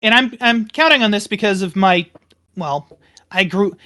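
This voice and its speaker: Bright-voiced man with wordy, wry delivery. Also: high-pitched, moderate pace. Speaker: tabletop game player. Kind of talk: casual in-character play.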